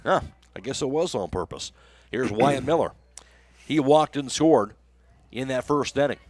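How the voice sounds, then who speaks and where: An American man with a medium-pitched voice calls a baseball game live on the radio. His play-by-play comes through close and dry, with very little room sound. He delivers it as running sports commentary. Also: expressive, measured pace, no room sound.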